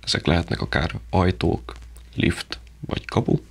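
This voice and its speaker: A man's voice: sits low, animated, gravelly, husky. A man presenting a product in an unscripted, informal and explanatory way.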